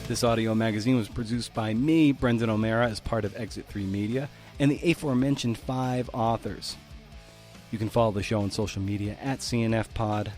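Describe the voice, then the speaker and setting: A man's voice: lowish voice, steady tone, brisk, darkish tone, faint music behind. A man hosting a podcast, speaking conversationally and informally straight to his listeners. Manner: informal, addresses listeners directly. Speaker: podcast host and editor.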